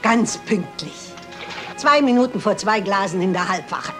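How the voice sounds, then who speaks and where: A woman playing a shrewd, resolute elderly lady detective in a German crime radio play, brisk and self-assured. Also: medium pitch, expressive, soft background music, close to an everyday voice. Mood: assured, intent. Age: older. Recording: hissy old radio-play recording.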